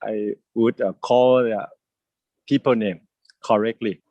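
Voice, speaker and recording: Older Thai man with halting speech. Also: fairly low pitch, fairly even intonation, no echo, highs cut off. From Thailand. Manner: halting, many fillers, gestures while talking. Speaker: host. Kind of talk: casual live video chat. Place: home, by a window with plants. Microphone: earphone mic on a video call.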